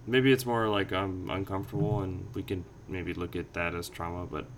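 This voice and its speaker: A man's voice: low-pitched, steady tone, normal speed, slight background noise. A man chatting casually on a podcast, reflective and meandering as he thinks aloud.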